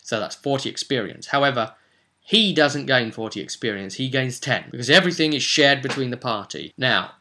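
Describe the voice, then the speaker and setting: A man's voice: medium pitch, expressive, brisk, sharp tone. A man giving an informal advice monologue as a game master and host, chatty and emphatic, often putting rhetorical questions to the listener.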